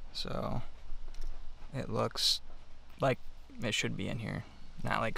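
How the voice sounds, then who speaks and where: A man talking through a hands-on installation in a casual, unscripted way, halting and offhand as he thinks aloud. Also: medium pitch, creaky, fairly bright tone. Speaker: DIY installer giving a how-to. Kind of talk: casual unscripted tutorial narration.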